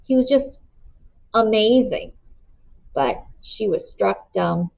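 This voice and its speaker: A woman's voice: mid-range voice, takes it slowly, gravelly. Woman reading a children's novel aloud. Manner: steady storybook narration. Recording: dull lo-fi recording.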